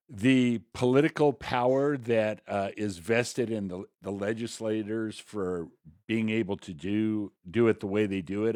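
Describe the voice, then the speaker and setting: Middle-aged man, lowish voice, talking politics. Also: a little mellow, dry recording, studio quality. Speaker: talk show co-host. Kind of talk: conversational political commentary.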